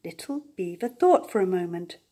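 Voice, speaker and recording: Older woman, storyteller, children's read-aloud, lively intonation, slightly husky. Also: lowish voice, normal speed.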